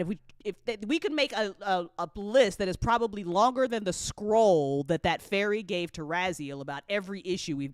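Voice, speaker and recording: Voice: medium pitch, dry recording, studio quality. A person with a man's voice in casual chat, speaking emphatically and repeating key phrases for stress. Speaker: audio drama creator on a panel.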